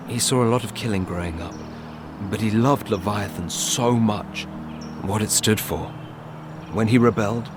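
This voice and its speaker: An actor playing a battered, loyal, reflective immortal rebel in an audio drama, speaking earnestly and intimately. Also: male voice, lowish voice, noisy background, lightly acted. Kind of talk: naturalistic audio drama acting. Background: music.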